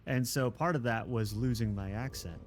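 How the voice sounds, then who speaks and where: A man's voice: medium pitch, moderate pace, faint music behind. A man from Texas chatting casually on a live game stream, rambling and self-deprecating with frequent chuckles. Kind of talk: casual chat while gaming.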